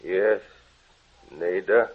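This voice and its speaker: Entranced male scientist, husky, slow, strained, halting, tinny vintage radio drama. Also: low voice, heavily acted. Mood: dazed obedient. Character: Court, dazed scientist under an eerie controlling will. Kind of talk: old-time radio horror acting. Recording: tinny old radio broadcast.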